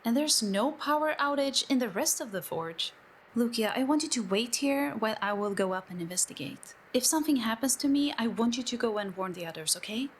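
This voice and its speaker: A woman's voice: fairly high pitch, lively intonation, fairly quick, a little hiss or hum, lightly acted. A woman playing an eccentric, curious tech-priest scholar, affectionate and a little nervous, in a sci-fi audio drama. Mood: puzzled caution.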